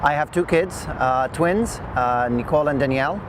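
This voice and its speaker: A middle-aged man recounts his work in an unscripted interview, hesitating often as he goes. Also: on the high side. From Lebanon. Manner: halting, with frequent long hesitations. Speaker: engineer interviewee. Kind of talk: oral history interview, unscripted recollection. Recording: loud outdoor traffic noise.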